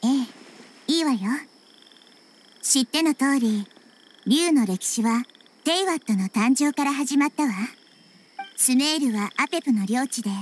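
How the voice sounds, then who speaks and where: A woman voicing a wise, composed young girl deity in an anime game, explaining things in a gentle, measured way. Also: high-pitched. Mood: explanatory. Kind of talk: Japanese anime game dialogue, expository storytelling. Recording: game music behind the voice.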